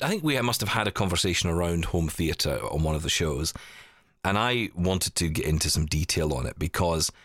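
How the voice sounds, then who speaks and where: A man with a very low voice hosts a casual podcast. His pitch swings a lot as he talks. He asks long, rambling questions that he hedges as he goes, and the sound is dry and close. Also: fairly bright tone, no echo. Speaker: podcast host.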